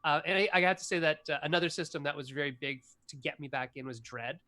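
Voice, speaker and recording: Bright-voiced enthusiastic man in his forties. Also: fairly high pitch, fairly even intonation, fast. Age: 40s. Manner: enthusiastic, rambling with many fillers. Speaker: game designer guest. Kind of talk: casual interview chat.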